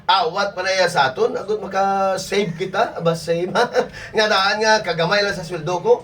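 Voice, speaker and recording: A man's voice: high voice, steady tone, moderate pace, fairly bright tone, a little hiss or hum. A man with an Ilonggo accent playing a grumbling, defensive, tight-fisted working husband in a comedy skit, exclaiming and complaining. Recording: distant mic.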